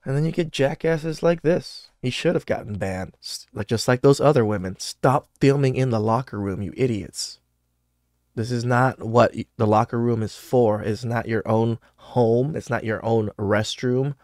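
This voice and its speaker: A man's voice: medium pitch, normal speed, darkish tone, dry recording, studio quality. A man in his thirties hosting a podcast, reacting to clips with blunt, profane scolding. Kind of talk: casual reaction commentary. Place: home studio with purple lights.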